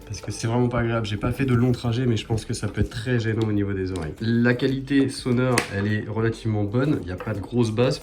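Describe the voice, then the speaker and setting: A man with a fairly low voice presents a product in a casual vlog style. He explains things informally and step by step, as someone showing gear hands-on. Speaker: motorcycle vlogger presenting a product.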